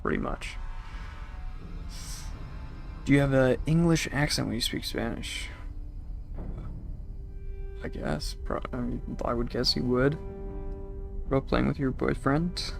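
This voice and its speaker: Gravelly young man chatting with stream. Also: medium pitch, unhurried, long pauses, soft background music. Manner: rambling, reads chat messages aloud. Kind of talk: casual chat with live chat while gaming.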